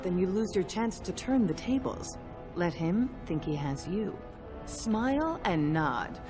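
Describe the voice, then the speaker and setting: A woman playing a shrewd, imperious older dwarf noblewoman in a fantasy game, sharp-tongued and commanding. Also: fairly low pitch. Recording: music behind the voice.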